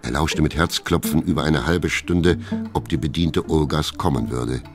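A man narrating a classic novel in a German radio drama, with measured storytelling. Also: rumbling low, over music.

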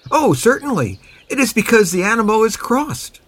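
Man acting a high, animated English livestock breeder. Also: moderate pace, exaggerated voice. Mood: firm, blunt. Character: English livestock breeder, blunt, cordial, honest. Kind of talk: comic folk tale dramatization.

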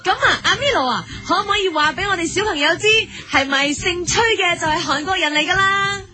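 A woman's voice: very high voice, animated, a little fast, pushed and bright, faint music behind, full character voice. A woman playing a bubbly, silly young children's TV host with a cutesy sing-song delivery and a Hong Kong Cantonese accent. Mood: chirpy, bubbly. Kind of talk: parody children's programme presenting.

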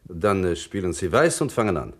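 A man's voice: sits low, big pitch swings, fairly quick, fairly bright tone, slightly rough, full character voice. A man playing a courteous, evasive French prison director with a French accent in a radio play, formal and searching for words in German. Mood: courteous, admiring.

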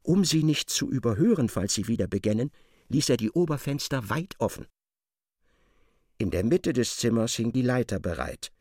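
An actor playing an intense storyteller, narrating a feverish tale for radio drama. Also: male voice, mid-range voice, darkish tone, no echo, studio quality.

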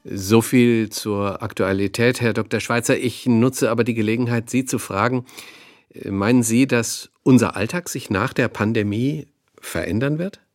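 A man's voice: medium pitch, normal speed, lightly acted. A man playing a courteous, curious radio interviewer, who puts his questions politely and with some hesitation.

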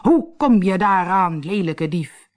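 A woman voicing an irate old father in a storybook, blustering, loud and commanding. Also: fairly low pitch, animated, slow, pitched above the performer's own voice. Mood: astonished, urgent. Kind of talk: storybook character acting.

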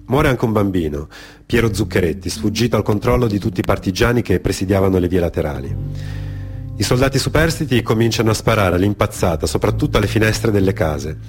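A man narrating a dramatic monologue for radio theatre, grave and emphatic, in short deliberate phrases. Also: fairly low pitch, expressive, soft background music. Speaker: storyteller, actor.

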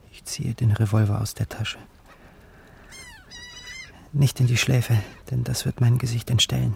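A man's voice: mid-range voice, gravelly, dark tone, slight background noise. An actor playing a desperate, brooding poor young man, telling his life in an intense, literary audio drama style. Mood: bleak, anguished. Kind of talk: first-person audio drama narration and dialogue.

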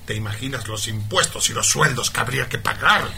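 An actor playing a cruel, greedy, scheming mine owner in a parody radio melodrama, forceful and contemptuous. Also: male voice, mid-range voice, a little fast, creaky. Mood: scheming, cold. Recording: light background noise.

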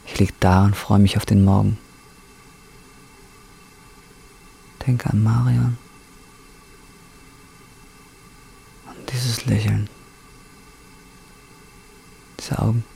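A man's voice: fairly low pitch, fairly even intonation, measured pace, creaky, mellow. An actor playing a down-and-out man in his twenties, blunt, weary and brooding, in an audio drama. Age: 20s. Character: jaded, down-and-out young man, brooding and defiant. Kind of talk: audio drama acting with inner monologue. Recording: faint background noise.

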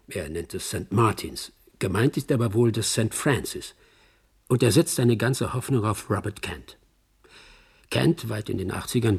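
A man acting a dry, knowing agent who recounts a case in a radio play, measured and wry, with a gravelly, husky voice. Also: fairly low pitch, darkish tone, full character voice. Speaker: narrator. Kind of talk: radio drama commentary.

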